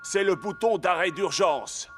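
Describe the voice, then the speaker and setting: A man's voice: high voice, a little slow, over music. A man playing a firm, composed football coach in a dubbed cartoon.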